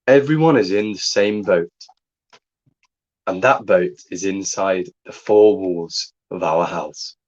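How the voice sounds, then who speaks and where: A young man co-hosting a casual livestream, talking in a calm, measured way and reading a listener's letter slowly. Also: low-pitched, highs cut off. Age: young adult. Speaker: co-host.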